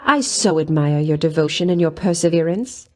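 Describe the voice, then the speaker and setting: A woman's voice: low-pitched, normal speed, mellow, natural voice. A woman voicing a vain, flirtatious goddess of love in a video game, coy and teasing, with sweet-voiced threats.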